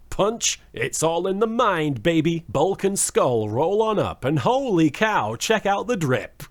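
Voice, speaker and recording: Animated man with wry, sarcastic delivery. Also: high voice. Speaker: web video host recapping and reviewing TV episodes. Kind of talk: comedic scripted recap narration.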